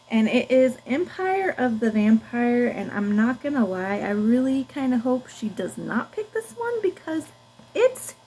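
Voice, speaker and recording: High-voiced woman vlogger, unhurried. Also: a little mellow, poor audio. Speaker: book vlogger. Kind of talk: casual chat to camera.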